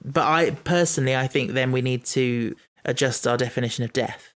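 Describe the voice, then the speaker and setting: A man of middle pitch co-hosting a relaxed podcast conversation. He reasons things out as he goes, trailing off, restarting and circling back, in an unhurried, chatty way. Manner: thinks aloud, stumbling, many restarts. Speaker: podcast co-host. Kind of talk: casual chat.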